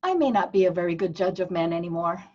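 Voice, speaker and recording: A woman's voice: lowish voice, animated, fast, darkish tone. A woman playing a naive, sheltered, wistful nun in an earnest comedic table read. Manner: innocent, earnest, easily scandalized. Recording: dull, lo-fi video call audio.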